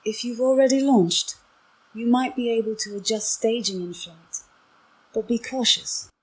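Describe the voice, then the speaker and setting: A synthetic woman's voice as an AI companion, giving formal, measured explanations with a slightly breathy tone. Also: on the high side, rough recording. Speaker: AI voice assistant companion. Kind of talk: clear explanatory briefing, scripted-sounding.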